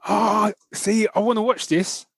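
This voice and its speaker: A man co-hosting a podcast, telling stories in an animated, slangy, casual review style. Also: sits high, measured pace, slightly rough, no room sound. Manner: animated storytelling with slangy asides. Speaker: podcast co-host. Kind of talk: casual chat, film review.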